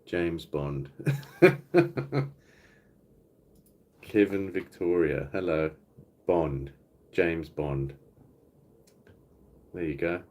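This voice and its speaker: A middle-aged man with a South African accent, hosting a casual live Q&A stream from home. He chats in a relaxed, rambling way and reads out viewers' comments as he goes. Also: low voice, drawn-out words, pauses often. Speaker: livestream host. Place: home, indoors.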